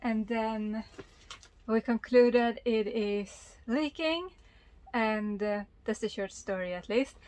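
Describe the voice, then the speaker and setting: Female vlogger, 30s, slightly high voice, casual and enthusiastic explainer. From Sweden. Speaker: sailing vlogger. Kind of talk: casual explanatory chat to camera. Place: small sailboat, in cabin and covered cockpit, and outdoors at a marina.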